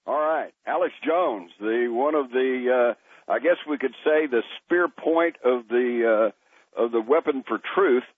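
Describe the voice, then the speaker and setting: A man hosting a radio interview, asking questions in a relaxed, conversational way. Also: mid-range voice, unhurried. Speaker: radio host. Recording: phone-line radio audio.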